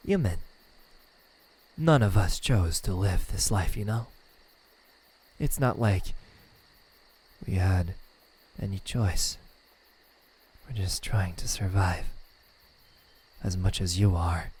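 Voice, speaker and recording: A man voicing a smug, menacing yet melancholic demon in an ASMR roleplay, speaking in a hushed, taunting way. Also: lowish voice, heavily acted, rough recording. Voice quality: breathy, slipping into whispers. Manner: slow, hushed, taunting delivery with pauses.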